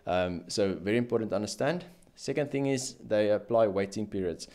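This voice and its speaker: A young man with a South African accent, a financial planner hosting a show, explaining medical aid rules methodically while gesturing with his hands, recorded in a small studio room on a boom-arm microphone. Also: fairly quick, darkish tone. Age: young adult. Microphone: boom-arm studio mic in frame.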